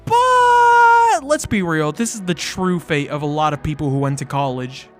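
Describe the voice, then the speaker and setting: Sarcastic webtoon commentator with lively pitch. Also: unhurried. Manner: sarcastic, exasperated, slangy. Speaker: YouTube host reviewing webtoons. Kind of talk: scripted comedic commentary narration. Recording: music behind the voice. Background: background music.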